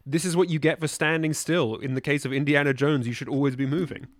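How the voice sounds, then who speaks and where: A man in a casual book discussion, talking in a halting, conversational and appreciative way. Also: on the high side, fairly even intonation, no room sound, clean studio sound.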